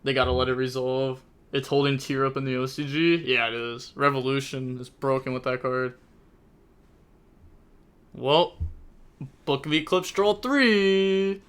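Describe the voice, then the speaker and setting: Young male streamer, bright crisp voice, chatty casual commentary. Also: mid-range voice, unhurried, pauses often. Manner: chatty, rambling, thinks aloud with many fillers. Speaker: streamer commentating a card game tournament.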